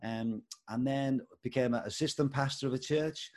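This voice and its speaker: A man's voice: mid-range voice, steady tone, moderate pace. An older man with a Liverpool accent, chatting as an interview guest about his life and work. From England. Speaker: guest, schools coordinator. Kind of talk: casual interview chat.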